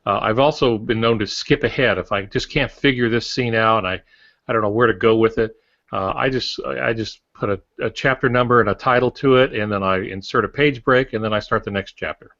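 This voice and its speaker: A man's voice: fairly low pitch, a little fast, fairly bright tone. A man in his sixties co-hosting a podcast, explaining things in casual talk. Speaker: podcast co-host, professional writer. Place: room lined with shelves of typewriters. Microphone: earbuds on a video call. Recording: dull video-call audio.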